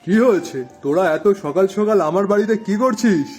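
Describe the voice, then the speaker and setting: A man voicing an authoritative but obliging village headman in an animated folk tale, with a husky voice. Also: sits high, brisk, faint music behind. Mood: startled, stern.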